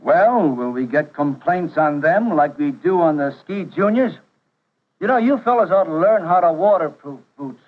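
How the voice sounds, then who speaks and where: An actor playing a plain-spoken, skeptical store buyer in a 1950s training film, folksy, blunt and terse. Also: male voice, on the high side, animated, moderate pace, a little hiss or hum, natural voice. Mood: skeptical, grumbling. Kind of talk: 1950s instructional film acting. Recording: dull vintage 1950s film soundtrack.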